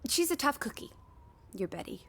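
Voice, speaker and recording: A woman's voice: high voice, sing-song, moderate pace, fairly bright tone, slight background noise, exaggerated voice. Playful, teasing young female companion. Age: young adult. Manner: playful, breezy, coaxing. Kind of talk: naturalistic video game voice acting.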